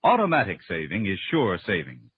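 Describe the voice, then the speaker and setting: A man's voice: sits low, huge pitch swings, brisk, a little hiss or hum. A man doing dramatic, emphatic scripted announcing and narration in a 1940s radio style. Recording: tinny narrow-band old radio broadcast.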